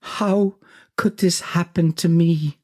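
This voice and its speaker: A man's voice: on the high side, steady tone, takes it slowly, darkish tone, pitched above the performer's own voice. A man voicing an anxious, penniless village tailor in an audio folk tale, with a pleading, questioning, theatrical manner. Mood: despairing.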